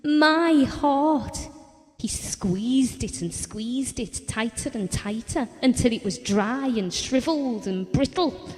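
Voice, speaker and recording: Actress as a high-voiced wistful wife, reverberant. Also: female voice, lively intonation, slow, darkish tone. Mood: bitter, hurt. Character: Ellen, wistful, devoted wife around forty, quietly wounded. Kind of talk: theatrical audio drama monologue and dialogue. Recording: long hall-like reverb.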